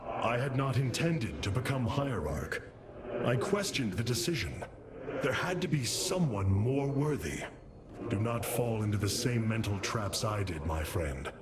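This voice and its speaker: Slow, commanding alien warrior leader. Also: male voice, mid-range voice, heavy background noise. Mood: solemn encouragement. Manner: formal, commanding, oratorical. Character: noble alien warrior leader, resolute and stirring. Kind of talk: cinematic video game acting.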